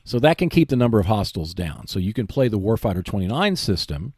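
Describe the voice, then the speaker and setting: A man's voice: on the low side, big pitch swings, fast, a little mellow, breathy edge, no room sound, studio quality. A man hosting a solo gaming podcast, explaining and giving tips in a conversational way. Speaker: podcast host.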